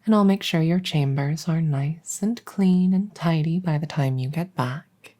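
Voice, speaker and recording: A woman acting as a doting undead maid, low-pitched, slow, soft, half-whispered, formal and gentle, ASMR roleplay. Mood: adoring, attentive. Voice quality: soft, breathy, often whispering. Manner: gentle, unhurried, deferential, formal. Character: devoted, doting undead vampire maid serving a ruler.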